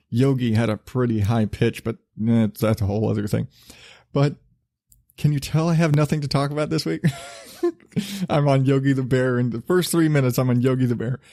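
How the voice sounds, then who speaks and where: A man hosting his own podcast, talking in a loose, rambling, self-deprecating way with a relatively low voice, laughing and swearing casually. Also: steady tone, normal speed, dark tone, no room sound, clean studio sound. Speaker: podcast host.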